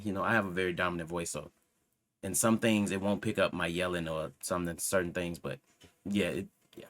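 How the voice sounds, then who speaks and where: Very deep-voiced man, loose and rambling. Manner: loose, rambling, and self-assured. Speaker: channel host reviewing microphones. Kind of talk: casual tech review talk. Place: home computer setup with a loud PC fan. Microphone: desktop USB microphone.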